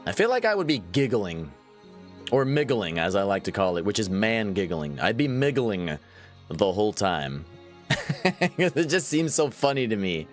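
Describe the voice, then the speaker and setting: A man in his thirties talks casually over a game, pitching low but swinging his voice up and down with plenty of life. He laughs easily and chats straight back to his viewers, while faint music plays underneath. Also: big pitch swings, moderate pace. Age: 30s. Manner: chatty, laughs often, answers chat. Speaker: gaming livestreamer. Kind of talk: casual live gameplay chat.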